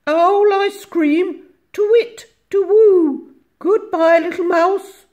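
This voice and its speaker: A woman voicing a silly, fluttery storybook owl with drawn-out, hooting exclamations. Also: extremely high pitch, mellow, highs cut off, voice pushed up. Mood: alarmed.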